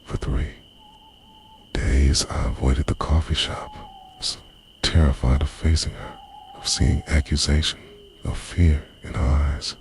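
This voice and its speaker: A man narrates a scripted romantic ASMR roleplay in a hushed, breathy, confessional voice that often slips into a whisper. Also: a bass voice, level tone, measured pace, a little hiss or hum.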